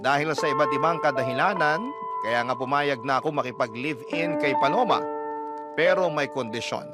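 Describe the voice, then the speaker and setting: An actor playing an earnest, devout young Filipino man, recounting his troubled romance in a measured, confiding radio drama narration. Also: on the high side, natural voice. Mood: reflective, earnest. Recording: loud music under the voice. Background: loud background music.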